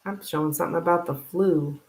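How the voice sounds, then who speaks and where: Roomy echoing live stream, woman, lowish voice, tarot reader, informal, halting, thinking aloud. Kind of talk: casual live tarot reading.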